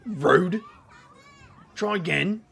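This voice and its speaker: Man acting a very gravelly, gruff British magician. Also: high-pitched, very slow, a bit bright, exaggerated voice. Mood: gruff teasing. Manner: slangy, dry, grumbling. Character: gruff, sarcastic British magician in a long coat. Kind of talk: audio drama acting.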